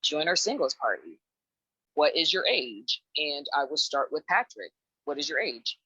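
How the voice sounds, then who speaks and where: A woman's voice: low voice, very animated, sharp tone, breathy edge, dry recording, muffled recording. A woman hosting a dating show call-in, chatty and upbeat, cheering each guest on. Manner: upbeat, answers each guest with a quick "awesome". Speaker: host. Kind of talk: casual chat, running a call-in dating show.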